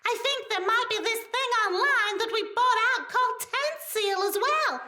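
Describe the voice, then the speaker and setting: A woman's voice: very high-pitched, expressive, moderate pace, bright, forceful tone, echoey room, distant mic, some chatter behind, slight background noise, exaggerated voice. A woman playing an announcer at a villain's company event in an audio drama. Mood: announcing. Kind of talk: public announcement in an audio play.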